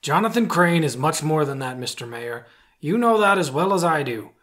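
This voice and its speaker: A man as an earnest, higher-voiced police commissioner. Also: animated, moderate pace, a bit bright. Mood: firm. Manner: firm, protesting. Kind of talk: fan-made audio drama acting.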